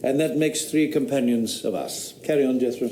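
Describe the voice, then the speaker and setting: An actor playing a witty, skeptical eighteenth-century philosopher, speaking with dry, precise period diction. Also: male voice, mid-range voice, moderate pace, echoey room, noisy background, natural voice. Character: wry skeptical male philosopher, urbane, rational. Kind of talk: radio drama acting.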